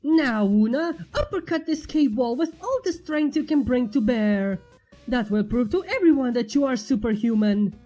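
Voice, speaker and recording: A woman voicing a pompous, excitable male skeleton in a story reading, proclaiming everything emphatically. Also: high-pitched, normal speed, soft background music, pitched above the performer's own voice. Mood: eager.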